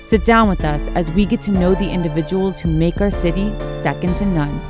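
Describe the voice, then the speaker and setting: A woman's voice: on the low side, a little fast. A woman reading a polished, scripted podcast introduction in a promotional tone. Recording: voice over loud music bed.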